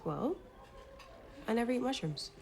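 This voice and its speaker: A woman's voice: fairly high pitch, expressive, unhurried, a little mellow. A woman playing a candid but guarded 1920s woman, with wry, understated delivery in a TV period drama. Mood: teasing, flirtatious. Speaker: actress in a TV detective series. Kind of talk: naturalistic TV period drama acting. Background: background music.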